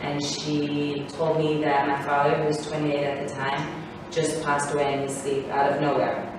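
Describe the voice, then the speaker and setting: Woman in her 30s talking to parents, lots of ums, low-ish voice, far off in a big echoey hall. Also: completely flat delivery, moderate pace, noisy background. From born in Israel, raised in South Africa. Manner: rambling, many fillers and restarts. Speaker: guest speaker. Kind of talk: personal talk to parents.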